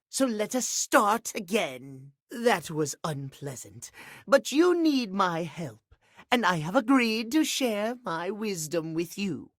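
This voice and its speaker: A man playing a prim, fussy gentleman timekeeper in a video game, posh and precise. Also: high-pitched, dry recording, clean studio sound, heavily acted. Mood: composed, fussy. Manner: posh, precise, theatrical lecturing. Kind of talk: video game character acting.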